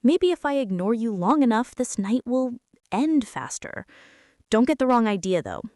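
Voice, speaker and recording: A woman's voice: on the high side, very animated, normal speed, dark tone, no echo. A young woman playing a prickly, defensive teenage school bully in an intimate girlfriend ASMR roleplay, sulky and sarcastic, close to the mic. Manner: sulky, sarcastic, huffy, with sighs and whispers.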